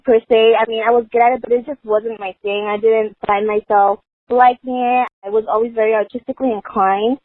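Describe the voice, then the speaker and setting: Woman, guest from the Los Angeles area, chatty, says "you know" a lot, fairly high voice, tinny audio. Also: dry recording. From Los Angeles area, United States. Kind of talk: casual conversation. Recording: dry, phone-like narrow sound.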